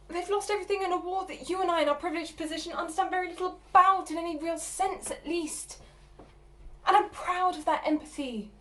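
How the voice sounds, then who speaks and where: A woman acting a theatre monologue as a flustered, exasperated partner, halting and repeating herself as she argues. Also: sits high, close to an everyday voice. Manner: halting, stammering, repeating herself, bursts of agitation. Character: flustered, exasperated woman answering her partner's unwelcome proposal.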